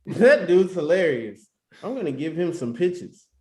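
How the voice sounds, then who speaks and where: A man's voice: on the high side, sing-song, unhurried, a little mellow. A man acting in a table read as a cheeky, exuberant young wedding guest who talks in casual slang. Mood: amused. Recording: roomy, echoey sound.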